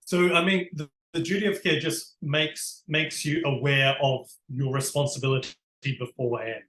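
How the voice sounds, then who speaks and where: A man's voice: fairly high pitch, steady tone, normal speed, fairly bright tone, roomy sound, rough recording. A man with a New Zealand accent, answering interview questions in an earnest, explanatory way with frequent restarts. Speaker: barrister, interview guest. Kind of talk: conversational interview.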